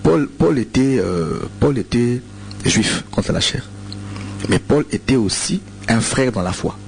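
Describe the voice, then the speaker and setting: A man's voice: medium pitch, animated, a little slow, vocal fry, slight background noise. A man from Congo with a Congolese French accent, a radio preacher answering listener questions, hesitant, with many repeated words and fillers. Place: radio studio.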